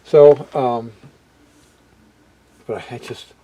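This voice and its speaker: A man presenting a hobby video in a casual, unscripted way, halting as he muses aloud and often trailing off mid-thought. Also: mid-range voice, steady tone, no room sound, narrow, tinny audio. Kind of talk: casual unscripted product walkthrough.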